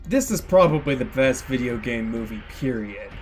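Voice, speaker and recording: A man's voice: medium pitch, animated, moderate pace, soft background music. A man giving casual, opinionated film commentary in a blunt, sardonic way. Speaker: video essayist reviewing films.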